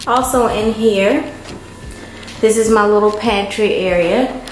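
Slow-talking woman touring an empty apartment. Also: medium pitch, slight background noise, low-quality recording. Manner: unhurried, chatty, many ums. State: hot and worn out from moving. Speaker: vlogger giving an apartment tour. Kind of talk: casual walkthrough narration. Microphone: handheld camera.

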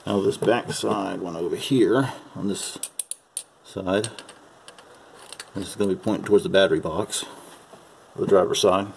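Low-voiced man giving hands-on instructions. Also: a little slow, gravelly, slight background noise. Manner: casual, folksy instruction, calling parts "her". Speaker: amateur mechanic giving a repair demonstration. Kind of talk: unscripted how-to narration while working. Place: at a car's open engine bay.

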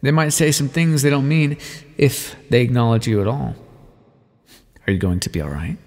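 A man playing a gravelly, condescending vampire in reverb. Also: mid-range voice, normal speed, a little mellow, close to an everyday voice. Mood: wry concern. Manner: wry, condescending, formal wording with pet names. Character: aloof, teasing male vampire scientist, reluctant ally. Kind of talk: ASMR roleplay, scripted intimate monologue to the listener.

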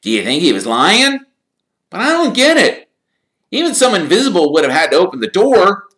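Guy doing a whiny schoolboy, high and loud, grumbling, echoey. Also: moderate pace, heavily acted. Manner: complaining, excited chatter. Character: tired, grumbling schoolboy friend.

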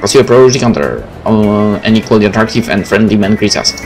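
Low-voiced man doing a game playthrough. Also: lively intonation, quick pace. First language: Polish. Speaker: gaming video host. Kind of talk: game let's play, reading text aloud with casual commentary. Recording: faint game music behind.

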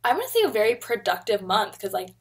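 A young woman with a husky, slightly breathy voice talks at an everyday pitch. She rambles in a loose, chatty way, speaking straight into a webcam at her desk in a bedroom. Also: fast. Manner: chatty, rambling, animated hand gestures. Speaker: vlogger. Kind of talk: casual chat to camera. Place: bedroom, indoors.

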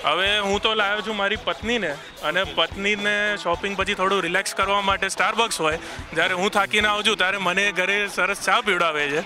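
A married man answering a street interviewer in a relaxed, good-humored way. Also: high voice, fairly quick. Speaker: interviewee, a husband. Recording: music playing underneath.

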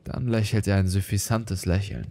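A man narrating a scripted horror story in a grave, measured, theatrical manner. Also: fairly low pitch, fairly even intonation, dark tone.

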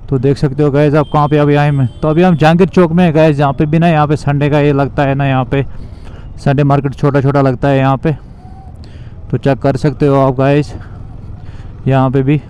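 A man's voice: a little high, little pitch movement, fairly quick, a little mellow, lo-fi sound. A young man vlogging casually while riding a motorbike through city traffic, talking loosely and repetitively to his viewers. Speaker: moto vlogger.

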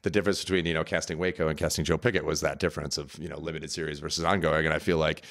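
A man's voice: extremely low pitch, little pitch movement, rapid-fire, a bit bright. A middle-aged man hosting a podcast in a casual, conversational way. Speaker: podcast host. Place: room at home, indoors. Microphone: close podcast microphone with headset.

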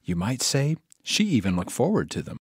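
Male narrator for audio drama: wry village storyteller, animated pitch, dry studio recording. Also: moderate pace, husky, dry recording. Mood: wry, storytelling.

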